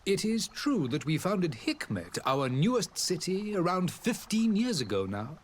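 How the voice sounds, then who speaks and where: An actor playing a dignified, courteous diplomat in a role-playing game, speaking formally and eloquently. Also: male voice, sits high, big pitch swings, a little slow, a little hiss or hum. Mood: marvelling. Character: dignified male diplomat of a foreign alliance, proud and courteous.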